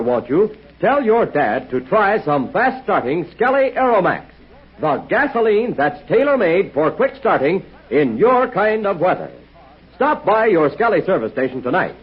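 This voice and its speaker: A man announcing a 1940s radio serial, lively and salesmanlike, on a dull old transcription. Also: high voice, breathy edge.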